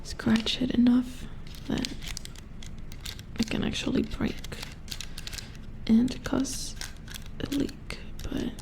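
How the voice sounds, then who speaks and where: Woman doing a soft whispery robot repair engineer asmr, slow n focused, pretty even tone, calm and methodical. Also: a little high, creaky, heavily acted, low-quality recording. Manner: unhurried, murmuring as if concentrating on close work. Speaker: ASMR roleplay performer. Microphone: close ASMR microphone.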